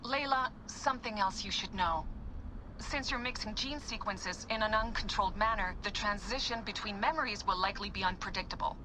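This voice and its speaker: A woman's voice: medium pitch, fairly quick, noisy background, natural voice. An actress playing an anxious, cautious young female technician who stammers when worried. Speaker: lab technician.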